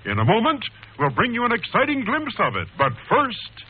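A man announcing in 1940s radio style, with dramatic, hearty salesmanship in a scripted pitch. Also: huge pitch swings, normal speed. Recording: muffled scratchy old radio broadcast.

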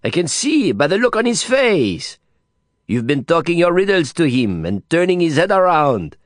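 A man voicing a rude, provocative man in his thirties, loud, blustering and mocking. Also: on the high side, sing-song, normal speed, no room sound, studio quality, voice pushed up.

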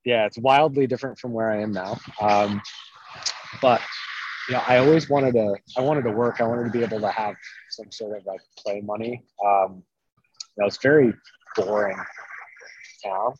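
A man's voice: fairly low pitch, steady tone, moderate pace, long pauses, dull audio, background noise. A man from central Iowa, a private chef and podcast guest, telling his story in an easygoing, casual way. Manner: easygoing storyteller, many ums and likes. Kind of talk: casual interview chat.

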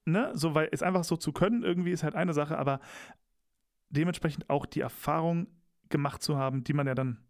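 A man's voice: a little high, brisk, no room sound, clean studio sound. A young man co-hosting a casual chat, enthusiastic and rambling, teasing his co-host. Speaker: podcast co-host.

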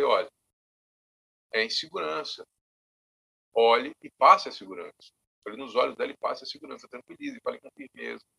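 A Bahian man from Salvador talks through his stories with a bright, slightly husky voice. His pitch sits a little high and swings widely as he narrates. He is chatty and animated, the way a musician talks in a relaxed interview. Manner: animated, often says "velho". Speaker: musician and bandleader. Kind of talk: casual interview storytelling.

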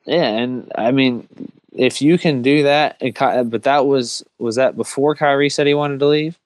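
A man's voice: medium pitch, moderate pace, no room sound. A man on a sports talk panel giving earnest, opinionated takes in casual discussion.

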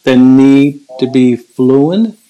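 An older man talks in a casual conversation, earnest and reflective, and he stutters often, repeating words and restarting phrases. His voice sits at a middle pitch for a man. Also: extremely slow, dark tone, rough recording. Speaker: guest.

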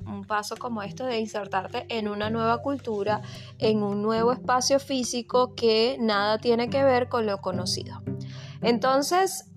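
Venezuelan woman, higher voice, guitar music behind. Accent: Venezuelan Spanish. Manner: reflective, many fillers and repeated words. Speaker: vlogger. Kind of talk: personal storytelling.

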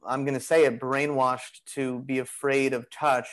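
Relaxed man rambling with easy fillers. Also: mid-range voice, unhurried. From Greek heritage. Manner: relaxed, rambling, many "you know" and "like" fillers. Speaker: podcast guest, bodywork practitioner. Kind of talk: casual conversational interview.

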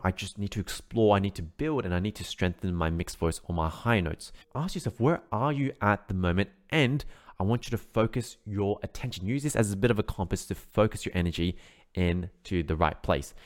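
A man teaching an online singing lesson, explaining steps in an encouraging way and often checking in with "right?". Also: on the low side. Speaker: vocal coach.

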